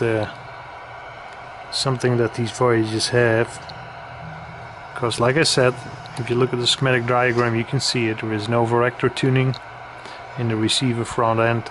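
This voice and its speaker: Halting man demonstrating gear over clear noise. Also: mid-range voice. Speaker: radio equipment reviewer. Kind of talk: casual product demonstration commentary.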